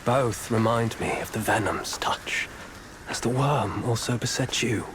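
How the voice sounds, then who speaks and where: Brief: a man doing a polite, treacherous Taoist disciple. Mid-pitched, slow, with whispery slyness, over ambient game sound. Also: noisy background, lightly acted. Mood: solemn. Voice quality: soft-spoken, sly, whispery. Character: scheming male Taoist disciple, secretly monstrous, courteous then hungry. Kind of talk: video game cutscene acting.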